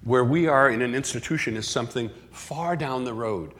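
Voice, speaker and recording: A man's voice: mid-range voice, fairly bright tone. Man preaching in a reverberant church. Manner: earnest, measured preaching with restarts. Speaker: pastor. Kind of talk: sermon. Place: church sanctuary. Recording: long hall reverb in a church.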